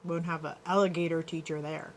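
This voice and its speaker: A woman reading a picture book aloud as a schoolteacher, pausing to explain and check that children follow. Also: low-pitched, little pitch movement, slight background noise. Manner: explanatory, checks understanding with tag questions. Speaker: elementary school teacher. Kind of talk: picture-book read-aloud with teaching asides.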